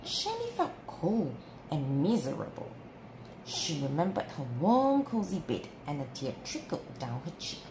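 Slow, expressive woman storyteller. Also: low-pitched. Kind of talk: children's story read-aloud. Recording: roomy with clear background noise.

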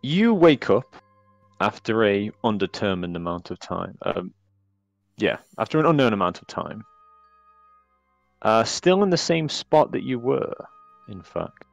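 A man narrating a tabletop horror game as game master, in a relaxed and wry way with many fillers. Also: on the low side, a little slow, creaky, long pauses, no room sound, dull audio, soft background music.

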